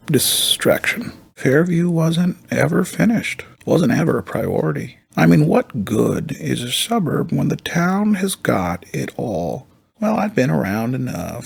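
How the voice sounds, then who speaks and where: A weary, eccentric thinker from a video game. His low voice is very husky and breathy, full of gravel. He talks slowly and haltingly, musing in understatement and circling back over his own phrases. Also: no room sound, full character voice. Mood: musing, understated, then weary, reflective. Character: weary, eccentric, soft-spoken intellectual man with hidden motives. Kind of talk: video game character dialogue.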